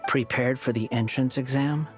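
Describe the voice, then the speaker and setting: A man voicing an aloof, guarded young puppet wanderer in a video game, speaking in a cool, measured way. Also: mid-range voice, fairly even intonation, natural voice. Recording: game music behind.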